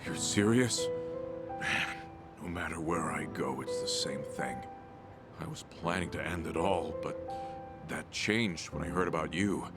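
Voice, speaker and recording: A man's voice: a bass voice, a little slow, loud music behind the voice. A man voicing a desperate, emotional down-and-out ex-yakuza family man in a video game drama. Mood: despairing. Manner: pleading, emotional.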